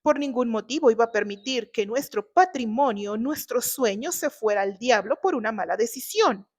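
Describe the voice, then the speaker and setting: A woman performs a middle-aged wife and mother in an audio novel. Her voice is high, and she is defensive and desperate, pleading and justifying herself with hesitations. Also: expressive, moderate pace, a little mellow.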